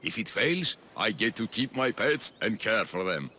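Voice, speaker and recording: An eccentric, obsessive doctor from a video game, with a bright, forceful voice that swings widely in pitch. He is jittery and fussy, doubling back on his own words as he dotes. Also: big pitch swings, moderate pace, a little hiss or hum. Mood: defensive, regretful. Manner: jittery, fussy, repeats himself. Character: eccentric obsessive male doctor doting on his human pets. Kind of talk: video game character acting.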